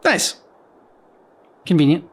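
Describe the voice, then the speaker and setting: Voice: takes it slowly, slight background noise. A host giving short, casual live reactions in a reaction video. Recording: game music behind. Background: game music.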